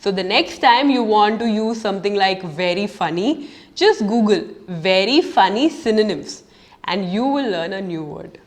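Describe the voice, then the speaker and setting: A young woman from India teaches spoken English with an Indian English accent. Her delivery is lively, emphatic and playful, and her voice sits in an ordinary mid range for a woman. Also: mid-range voice, normal speed. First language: Urdu. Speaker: English teacher and video host. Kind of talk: scripted educational vlog.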